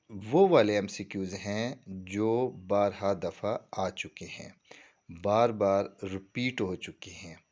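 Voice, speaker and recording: A man with a Pakistani accent giving an informal tutorial walkthrough, explaining things step by step and often checking that the listener follows. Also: fairly low pitch. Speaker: tutorial presenter.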